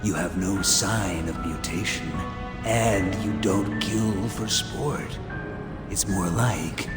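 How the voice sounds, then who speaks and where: A megalomaniac scientist villain from a video game with a fairly low voice. He gloats coldly and theatrically, stretching key words with smug satisfaction. The voice echoes, with music clearly playing underneath. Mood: coldly explaining. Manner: theatrical, gloating, drawn-out emphasis.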